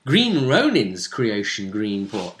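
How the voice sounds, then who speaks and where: A man's voice: lowish voice, huge pitch swings, slow. A man casually recapping a tabletop game as host and game master, acting out quotes as he retells it.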